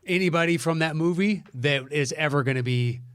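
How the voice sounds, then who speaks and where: A man's voice: on the high side, brisk, clear, resonant tone. A man co-hosting a casual film review podcast, speaking in a blunt, sweary, opinionated way. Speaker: podcast co-host reviewing films.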